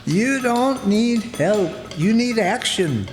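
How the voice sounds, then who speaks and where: An actor playing a steady beat cop who reasons in tough slang, in an old-time radio crime drama. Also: male voice, high voice, slow, faint music behind. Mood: steady, chiding. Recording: reverberant hall stage performance.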